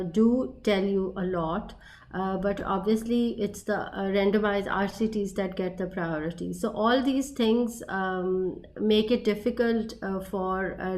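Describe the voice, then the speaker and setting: A woman's voice: mid-range voice, a little mellow. A woman speaking as a panelist in an online meeting, hesitant and full of fillers.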